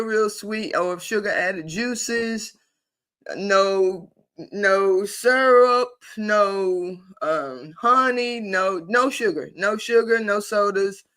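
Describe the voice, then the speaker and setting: A man hosting a casual spiritual talk on a live online session, animated and emphatic, with easy laughs, repeated phrases and rhetorical questions. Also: high-pitched. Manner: repeats and restarts while building a point, informal and folksy. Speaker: host of a paid spiritual membership group.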